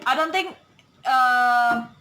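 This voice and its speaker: A chatty woman from Bali in a casual podcast conversation, her voice bright and a little higher than average. She talks with loose grammar and laughs easily. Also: slow. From Bali, Indonesia. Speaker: podcast co-host.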